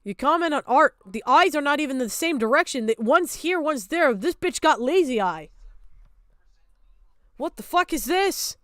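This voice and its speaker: A woman's voice: high-pitched, animated, moderate pace, a bit bright, no echo, clean studio sound. A woman streamer giving a casual, blunt reaction rant, swearing heavily and indignantly.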